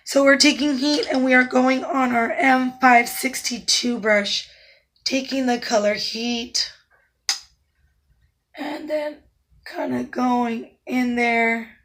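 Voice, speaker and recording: A woman makeup artist talking through a tutorial step by step, her naturally raspy voice hoarse and breaking in and out, calm and instructive with soft asides while she works. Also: high-pitched, slow. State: hoarse, voice going in and out.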